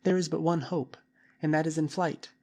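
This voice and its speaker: Man doing an urgent little boy, high and earnest. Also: fairly even intonation, moderate pace, a little mellow. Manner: urgent, pleading.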